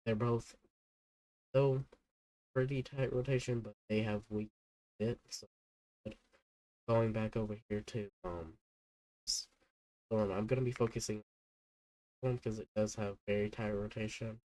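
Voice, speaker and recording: A man's voice: lowish voice, steady tone, mellow, no echo, poor audio. Stuffy-nosed man hosting live weather. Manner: halting, many fillers and restarts. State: stuffy from a cold. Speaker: livestream weather host. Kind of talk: unscripted live weather commentary.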